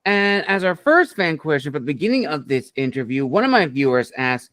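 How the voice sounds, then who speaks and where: A man hosting an interview and asking questions in a measured, polite way. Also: on the high side, sing-song, a bit bright, no room sound. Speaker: podcast host, interviewer.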